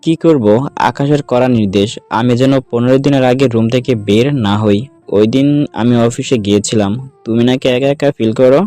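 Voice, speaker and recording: Guy playing easygoing newlywed husband, soft dark tone, dry close sound, light music. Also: mid-range voice, moderate pace, no echo, natural voice. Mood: teasing. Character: young newlywed husband, easygoing older brother.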